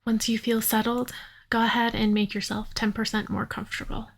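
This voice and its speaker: A woman guides a relaxation meditation in a fairly high voice. Her delivery stays extremely flat and level, with a creaky edge. She is soothing and unhurried, leaving long pauses between instructions. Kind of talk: guided yoga nidra meditation.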